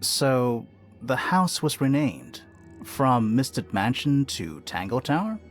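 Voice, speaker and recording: A man voicing a curious, puzzled detective in a video game, questioning in an inquisitive, expressive way. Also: medium pitch, takes it slowly, faint music behind. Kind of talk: scripted game dialogue.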